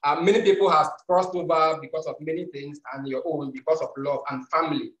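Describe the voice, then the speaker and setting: Nigerian male host, casual interview, halting with fillers, slightly high voice, roomy echo. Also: steady tone. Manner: hesitant, many "uh" fillers and restarts.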